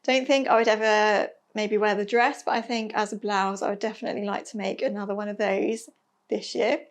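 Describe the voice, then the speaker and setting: A woman chatting casually about her sewing projects, a little hoarse from losing her voice, with a self-deprecating manner and frequent ums. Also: medium pitch, little pitch movement, brisk. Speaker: sewing vlogger.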